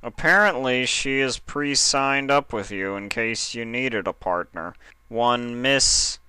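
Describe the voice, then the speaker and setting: Man doing a fussy, deadpan bureaucrat pony. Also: medium pitch, clear, resonant tone, no room sound, voice pushed up. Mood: businesslike. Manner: slow, deliberate, formal, deadpan.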